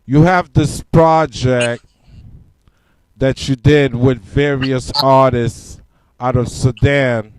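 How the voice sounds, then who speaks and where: A man hosting a podcast interview in an unhurried, laid-back way. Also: mid-range voice, steady tone, smooth, rough recording. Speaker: podcast host. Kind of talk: casual interview.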